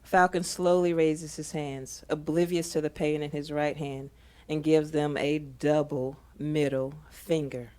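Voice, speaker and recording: A woman's voice: deep voice, little pitch movement, unhurried, warm tone. A woman reading scene directions as the narrator of a scripted audio drama.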